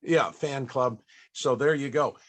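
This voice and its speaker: An older man hosting an interview, chatty and a bit halting, with frequent chuckles. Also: mid-range voice. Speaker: panel host.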